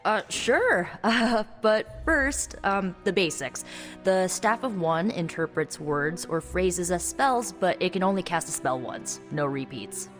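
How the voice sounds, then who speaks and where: A young spellcasting heroine from a video game, speaks in a slightly low voice. She is wry and guarded, with halting asides, and her words ring with long reverb. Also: animated. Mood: friendly, wry. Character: young woman blood-magic spellcaster, wry, guarded ex-runaway hero. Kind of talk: video game character dialogue, conversational. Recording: long reverb, music underneath.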